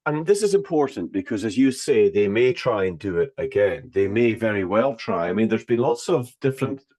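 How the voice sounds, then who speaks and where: A man's voice: on the low side, expressive, moderate pace, darkish tone. A man hosting an interview, speaking in an emphatic, rhetorical way. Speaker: interviewer, host.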